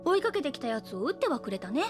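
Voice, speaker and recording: A woman's voice: high-pitched, sing-song, very fast, over music. An actress playing an easygoing, affectionate young woman in an anime audio drama, talking in a frank, friendly, casual way. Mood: frank, gentle. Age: young adult.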